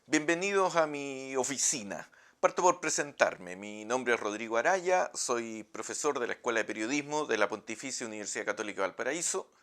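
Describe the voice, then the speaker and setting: A man's voice: mid-range voice, animated, brisk, a bit bright. A middle-aged Chilean man with a Chilean accent, a journalism professor giving a recorded lecture from his office, explaining and posing questions to his audience. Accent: Chilean Spanish.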